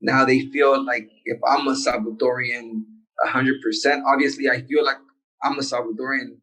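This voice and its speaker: A young man, a soccer player born in the United States, talking in a relaxed and halting way in a casual interview. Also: medium pitch, rough recording. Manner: laid-back, halting, with many restarts. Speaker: professional soccer player, guest.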